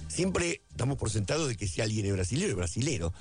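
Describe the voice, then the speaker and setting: A man's voice: medium pitch, big pitch swings, quick pace, darkish tone. A man with an Argentine accent giving a reflective spoken essay, often repeating a phrase for emphasis. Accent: Argentine Spanish. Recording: faint music underneath.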